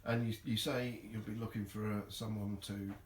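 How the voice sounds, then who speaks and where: A man's voice: on the low side, level tone, normal speed. A man asking questions in a casual interview, politely and a little hesitantly. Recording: echoey room with background noise.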